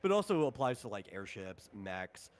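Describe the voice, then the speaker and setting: Low-voiced man explaining game rules. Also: big pitch swings, moderate pace. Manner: informal, conversational, explanatory. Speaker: game designer running a tabletop RPG session.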